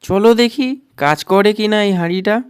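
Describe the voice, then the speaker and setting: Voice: mid-range voice, moderate pace, clean tone, dry recording, close to an everyday voice. A performer voicing an earnest, eager young village boy in a cartoon, with simple childlike delivery. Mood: excited. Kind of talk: cartoon dialogue.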